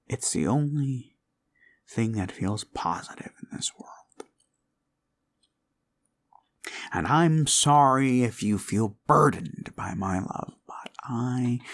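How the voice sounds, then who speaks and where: A man playing an obsessive, controlling boyfriend in an ASMR roleplay, coaxing and condescending, often slipping into breathy whispers. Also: fairly low pitch, full character voice. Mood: intense, devoted. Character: obsessive, controlling yandere boyfriend, menacingly sweet.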